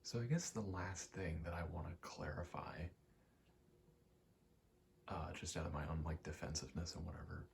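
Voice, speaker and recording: Deep, gravelly soft-spoken man rambling. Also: moderate pace, long pauses, a little hiss or hum. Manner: hesitant, rambling, self-deprecating. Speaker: video game ASMR creator.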